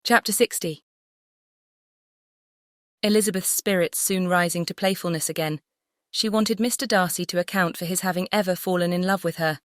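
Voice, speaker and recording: A woman's voice: fairly low pitch, normal speed, pauses often, no room sound, clean studio sound. A woman reading a classic novel as scripted audiobook narration.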